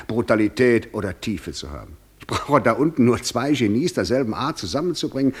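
A man's voice: mid-range voice, natural voice. A man acting the Devil in a German radio play, proud, sardonic and eloquent, with grand, ironic delivery. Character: the Devil, proud, sardonic, worldly-wise old tempter.

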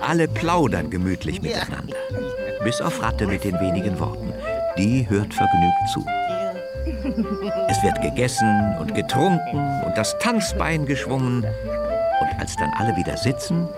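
Male narrator for a children's radio story, very expressive lowish delivery, prominent music underneath. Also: measured pace.

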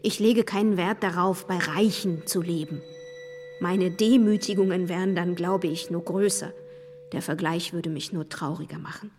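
An actress in a German radio play voicing a reserved, proud young gentlewoman, speaking with courteous, restrained firmness. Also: on the low side, fairly even intonation, normal speed, in a large hall, faint music behind, lightly acted. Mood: quiet bitterness.

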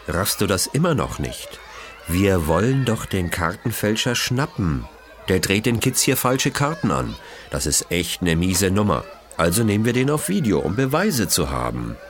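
A man's voice: deep voice, big pitch swings. Man voicing a keen, bossy schoolgirl sleuth. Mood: eager, instructing. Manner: quiet, brisk, explaining. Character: bossy clever schoolgirl detective, keen and patient. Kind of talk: children's audio mystery dialogue.

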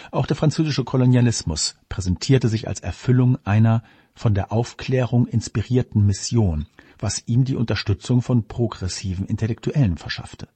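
A man reading a scripted political commentary aloud in a measured, formal manner. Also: mid-range voice, mellow, breathy edge, no room sound.

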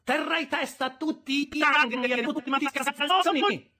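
A man's voice: very high voice, normal speed, pushed and bright, pitched above the performer's own voice. A man voicing a hysterical, scolding married woman in a fury, shrieking with indignation and scorn in an audiobook. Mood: furious. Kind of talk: audiobook character voice.

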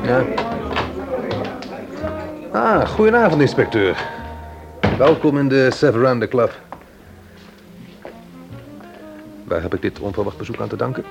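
A man's voice: mid-range voice, animated, normal speed, creaky, pauses often, music in the background, low-quality recording. A man playing a suave, easily indignant nightclub owner in a Dutch radio drama, with a polished, haughty manner. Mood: smooth, welcoming.

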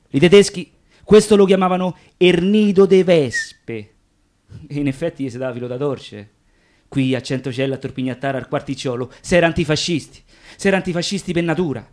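A male actor as a working-class Roman storyteller in a radio play. His voice sits a little high. He speaks in colloquial dialect, wry and proud, with theatrical emphasis. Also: a little mellow, lightly acted. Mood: proud, emphatic.

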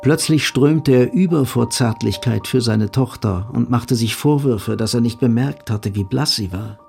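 A sober male narrator tells a literary story. His voice is medium in pitch and noticeably creaky. Faint music plays beneath the narration. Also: a little slow, a little mellow. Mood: sober, reflective. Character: sober third-person narrator of a troubled love story.

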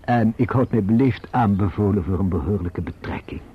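A husky, obsequious manservant. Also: male voice, on the low side. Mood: servile. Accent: Netherlands Dutch. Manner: formal, servile, measured storytelling with hesitations. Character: obsequious, self-serving manservant giving a formal report. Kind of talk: radio drama acting. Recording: muffled old radio drama recording.

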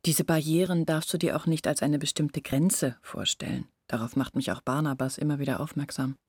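A brooding young village woman in a literary radio play. Her voice sits rather low and is husky, recorded close and dry. She confides earnestly and anxiously, as if to one trusted listener. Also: fairly even intonation, fairly quick, darkish tone, dry recording. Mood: brooding, doubting. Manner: intimate, brooding, earnest explaining. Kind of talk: literary radio drama acting.

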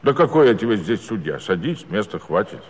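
An actor playing an unpretentious village judge, gruff but friendly and informal, in theatrical audio-play dialogue. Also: male voice, on the low side, sing-song, fairly quick. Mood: gruff, easygoing. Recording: reverberant hall-like studio recording.

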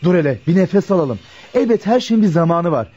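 A man's voice: sits high, very animated, brisk, mellow, slightly rough, full character voice. An actor playing an affectionate, teasing young man back from military service in a radio play. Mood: easygoing, earnest. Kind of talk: naturalistic radio play dialogue. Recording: dull lo-fi radio play recording.

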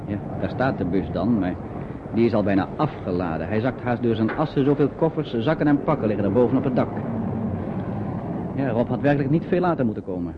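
An actor as a chatty, sympathetic narrator telling a radio play story in colloquial Dutch style. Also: male voice, mid-range voice, lively intonation, a little fast, close to an everyday voice. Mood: vivid, sympathetic. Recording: dull, noisy old radio recording.

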